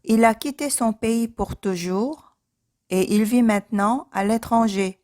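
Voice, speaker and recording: A woman's voice: fairly high pitch, warm tone. Woman reading language drills, carefully enunciated. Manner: measured, careful enunciation with repeated phrases. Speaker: language teacher. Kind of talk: scripted language drill, sentences read out and repeated.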